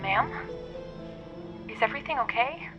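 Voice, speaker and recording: A woman's voice: a little high, animated, unhurried. A woman playing a nervous, apologetic, hesitant telephone operator in a radio play, heard through a phone filter. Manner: hesitant, polite. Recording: tinny phone line with faint music.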